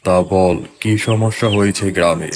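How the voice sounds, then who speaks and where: Guy doing a stern cartoon exorcist, lowish voice, bossy and grave, noisy track. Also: steady tone, measured pace, a little mellow. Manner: authoritative, commanding. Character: stern commanding village tantric exorcist. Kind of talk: horror cartoon voice acting. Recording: clearly noisy background.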